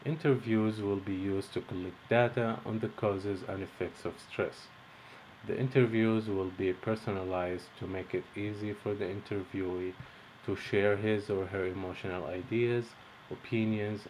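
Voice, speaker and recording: A young man from Egypt, a course instructor, reading out a lesson introduction in a measured, steady way. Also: low-pitched, a little hiss or hum, low-quality recording.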